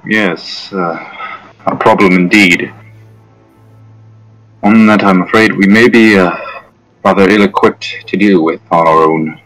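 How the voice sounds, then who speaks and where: A man's voice: low voice, steady tone, unhurried, pauses often, a bit bright, soft background music, low-quality recording. A man voicing a polite, hesitant male town governor in a tabletop game. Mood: worried, courteous. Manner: formal, hesitant, apologetic.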